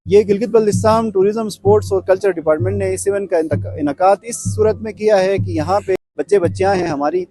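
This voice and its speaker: High, mellow-voiced male official. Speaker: government department official. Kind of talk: interview remarks.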